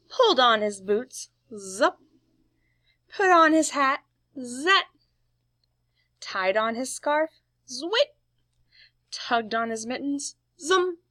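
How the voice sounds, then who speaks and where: A woman reading a storybook aloud to young children in an expressive, playful way, with emphatic sound words. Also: high voice, dry recording. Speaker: storybook reader, teacher.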